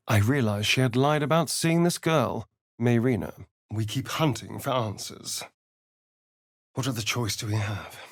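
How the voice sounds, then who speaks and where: An actor playing a sly, vain vampire rogue in a video game, with an arch, sardonic, flamboyant delivery. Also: male voice, medium pitch, a bit bright, no room sound, studio quality, full character voice. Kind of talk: video game voice acting, dramatic lines and narration.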